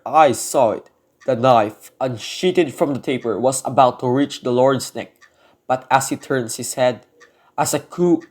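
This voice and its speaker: A man's voice: mid-range voice, a little mellow, natural voice. A man playing an uneasy burglar in an amateur radio drama, with an earnest storytelling manner. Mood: earnest recounting. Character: uneasy male burglar, earnest in telling his tale.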